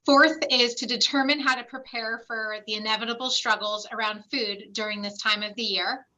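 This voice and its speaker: A woman walks through a webinar presentation in a clear, explanatory way, her voice sitting fairly high. The tone is bright and forward. She speaks over a video call. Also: fairly even intonation, normal speed, low-quality recording. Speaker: clinical site director presenting a webinar.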